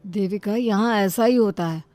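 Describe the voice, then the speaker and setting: A woman's voice: mid-range voice, moderate pace, mellow, soft background music, natural voice. A woman playing an anxious young village husband who pleads and placates in an audio moral story. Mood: anxious pleading.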